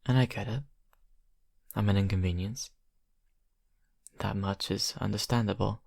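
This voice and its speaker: A young man acting a shy college vampire who confides haltingly and softly to his best friend in an ASMR roleplay. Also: on the low side, fairly even intonation, creaky, no echo, studio quality. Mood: wistful, hurt. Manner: hesitant, halting, soft-spoken confiding. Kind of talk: ASMR roleplay, intimate improvised monologue.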